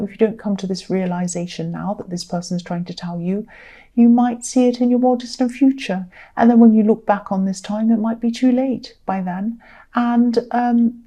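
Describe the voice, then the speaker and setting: A tired woman with a slightly croaky voice, giving a conversational tarot reading to viewers in an earnest, gently advising way, repeating phrases for emphasis. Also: fairly high pitch. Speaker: tarot reader.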